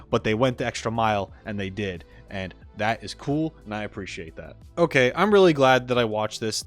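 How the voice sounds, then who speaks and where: A man's voice: on the low side, a bit bright. A man hosting a reaction breakdown as an animator, talking enthusiastically and stressing words for emphasis.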